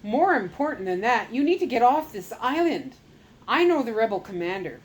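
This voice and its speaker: A man's voice: very high-pitched, sing-song, moderate pace, voice pushed up. A woman doing a worried missionary man. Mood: urgent. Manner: urgent, warning. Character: anxious island missionary man.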